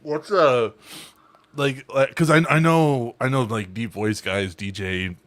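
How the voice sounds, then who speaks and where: A man's voice: mid-range voice, steady tone, slow pace, no room sound. A man hosting a casual group podcast over a video call, rambling with restarts and swearing freely. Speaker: podcast host.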